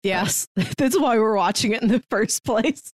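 A woman's voice: a little high, a little slow, dry recording, studio quality. A woman co-hosting a podcast, talking through films in a casual, conversational way. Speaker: podcast co-host. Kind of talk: casual film review chat.

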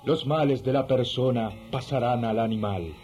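A man's voice: mid-range voice, normal speed, over music, close to an everyday voice. A man acting in a radio drama as a solemn spiritist priest with an incantatory, commanding manner.

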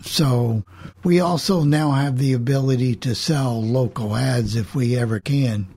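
A man hosting an informal group discussion in a measured, matter-of-fact way. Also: medium pitch, a little mellow, husky. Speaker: host, radio station operator.

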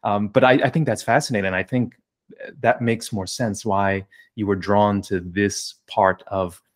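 A man from New Jersey hosts an interview and asks long, carefully built questions. His voice is a little low, and his phrasing is formal and measured. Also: fairly even intonation. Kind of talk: casual interview conversation.

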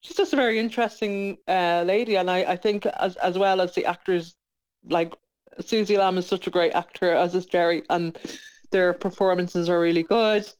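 A woman's voice: on the low side, steady tone, moderate pace, darkish tone, clear, resonant tone, low-quality recording. A woman talking informally as a radio interview guest, chatty and often correcting herself as she goes. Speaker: interview guest, playwright and producer.

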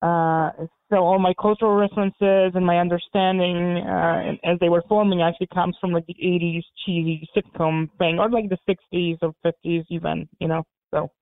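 A man from Poland whose first language is Polish, talking as an interview guest in a halting way, with many repeated words and restarts. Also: high voice, little pitch movement, smooth, dry recording. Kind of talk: casual interview talk. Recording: phone-like narrow band.

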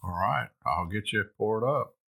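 Low, bright-toned man. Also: expressive, measured pace, gravelly. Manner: short, matter-of-fact replies. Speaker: father pouring the blind drink. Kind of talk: casual chat.

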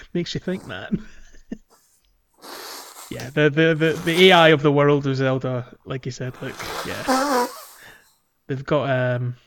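A man's voice: on the high side, animated, slow, pauses often, background noise. Casual male podcast host, chatting easily. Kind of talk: casual playthrough chat.

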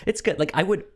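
A man co-hosting a podcast in a casual book discussion, speaking haltingly and often repeating sounds and words as he goes. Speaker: podcast co-host.

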